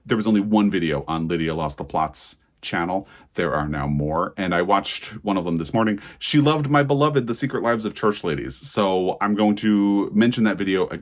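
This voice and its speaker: Very low-voiced man chatting casually. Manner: conversational, digressive, self-correcting. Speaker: book vlogger. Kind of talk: casual chatty vlog to camera.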